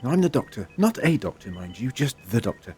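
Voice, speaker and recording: A mellow, lively-pitched male adventurer, played by an actor in an audio drama. He is wry and measured, calmly authoritative, gently probing as he speaks. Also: lightly acted. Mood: wry, reassuring.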